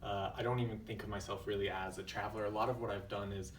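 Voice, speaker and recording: A man in his twenties from the United States, talking as an interview guest in a thoughtful, measured way with frequent fillers. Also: on the low side, steady tone, roomy sound, recorded from a distance, a little hiss or hum. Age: 20s. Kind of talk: casual interview.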